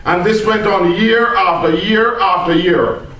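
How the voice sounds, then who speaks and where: A woman's voice: medium pitch, measured pace, fairly bright tone. A woman telling a comic tall tale live to an audience in a theatrical, mock-earnest manner, in a room. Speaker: storyteller at a speech club. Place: echoey indoor room, speaking to an audience. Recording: very echoey room, distant microphone.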